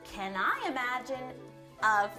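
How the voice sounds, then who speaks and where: A woman hosting a children's show, giving simple, encouraging instructions in an expressive way. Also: fairly high pitch, slow, loud music behind the voice. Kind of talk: instructional kids' show presenting.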